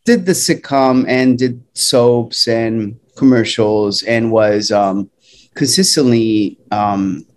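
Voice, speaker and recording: A man's voice: medium pitch, takes it slowly. A middle-aged man from Puerto Rico, a guest in a casual interview, telling his story unhurriedly with many ums and restarts.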